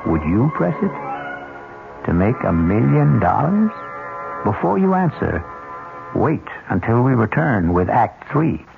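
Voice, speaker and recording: Gravelly male radio mystery host, tinny. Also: mid-range voice, big pitch swings, slow, pauses often, over music. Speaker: host and narrator. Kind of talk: dramatic scripted radio narration. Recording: tinny old radio broadcast.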